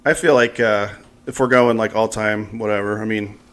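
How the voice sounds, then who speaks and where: A man's voice: lowish voice, fairly even intonation, moderate pace, a bit bright, clear, resonant tone. An American man hosting a podcast, talking in a casual, conversational interview style. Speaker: podcast host.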